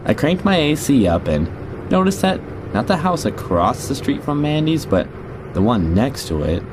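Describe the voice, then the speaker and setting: A man's voice: medium pitch, big pitch swings, normal speed, close to an everyday voice. A middle-aged man playing a jaded rideshare driver, narrating a scripted audio drama in a conversational, wry, confiding way. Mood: irritated, tense. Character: jaded male rideshare driver telling creepy stories. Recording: noisy, car and street ambience behind.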